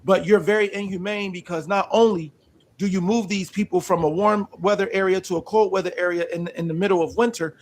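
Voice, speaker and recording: Guy from pennsylvania on a live panel, argues point by point, high voice, faint noise behind. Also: steady tone. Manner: measured, asks to finish, argues point by point. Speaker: panel guest. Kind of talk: heated live debate.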